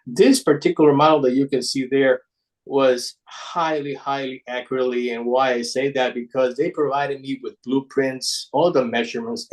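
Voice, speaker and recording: A man with an Ecuadorian Spanish accent giving an informal slide presentation, speaking haltingly and often restarting his words. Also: mid-range voice, rough recording. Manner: halting, with repeated word restarts. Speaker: guest presenter, paper model designer.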